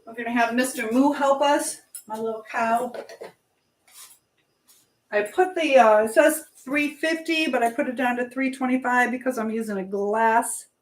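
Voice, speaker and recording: A woman with a fairly high voice hosts a casual livestream from an echoey room at home. She rambles along informally, reading comments from viewers and replying to them. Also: normal speed. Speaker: livestream host. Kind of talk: casual live-chat talk.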